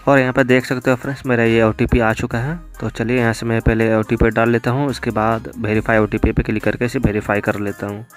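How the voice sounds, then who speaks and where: A man's voice: mid-range voice, level tone, fairly quick, few pauses. A young man hosting a step-by-step app tutorial in an instructional, repetitive way. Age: young adult. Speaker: tech tutorial video host. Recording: music bed behind voice. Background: background music.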